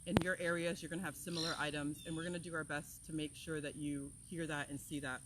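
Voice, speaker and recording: A woman from Florida, an herbal teacher, talking in an energetic, passionate way as she opens an outdoor class. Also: deep voice, fast, heavy background noise. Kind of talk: casual talk to open an outdoor class. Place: outdoors among plants, with birds and insects.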